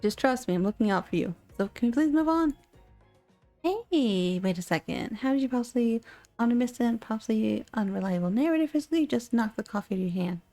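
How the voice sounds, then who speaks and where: A woman's voice: on the high side, animated, brisk, mellow, soft background music. A woman playing a chatty, sardonic omniscient narrator of a dating sim game, teasing the player directly. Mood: wry, teasing.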